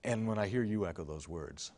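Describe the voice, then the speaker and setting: A man hosting an interview, asking questions in an earnest, reflective and measured way. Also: fairly low pitch, a little mellow, big-room sound. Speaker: host.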